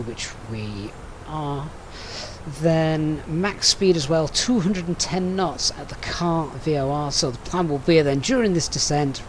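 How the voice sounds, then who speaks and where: A man's voice: on the high side, a little hiss or hum, poor audio. Chatty Sheffield man briefing a flight. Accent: Sheffield English. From Sheffield, England. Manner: methodical, chatty, reads out viewer comments. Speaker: flight sim streamer. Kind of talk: live-stream briefing and chat.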